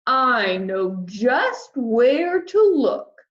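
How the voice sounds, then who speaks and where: A woman acts out a huge, kindly storybook whale, eager to help. The words are stretched very slowly and emphatically, fairly high in pitch, in an echoey room. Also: sing-song, a bit bright, exaggerated voice. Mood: helpful, confident. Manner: drawn-out, booming emphatic delivery. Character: large, friendly, helpful male blue whale. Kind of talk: children's storybook character voice. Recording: roomy echo, slightly dull sound.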